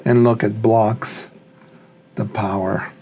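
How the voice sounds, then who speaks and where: A man's voice: lowish voice, flat delivery, slow pace, darkish tone, a little hiss or hum. A man thinking aloud in a casual, unscripted, tentative way as he reviews a product. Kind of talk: casual unscripted unboxing commentary.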